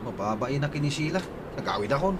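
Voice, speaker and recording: A man's voice: medium pitch, animated, fast talker, slight background noise, close to an everyday voice. A man playing a lovestruck, defensive and sulky younger brother in a radio drama, with an Ilocano accent.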